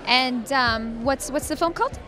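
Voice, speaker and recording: A woman's voice: high-pitched, normal speed. A woman hosting casual red carpet interviews at a festival, asking short questions. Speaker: host, interviewer. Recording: music and busy crowd behind. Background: music, crowd.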